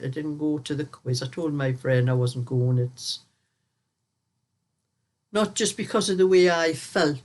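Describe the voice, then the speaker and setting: Croaky older woman. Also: low-pitched. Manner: rambling, chatty storyteller who laughs often. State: croaky from a cold. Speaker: knitting vlog host. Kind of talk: casual chat while knitting.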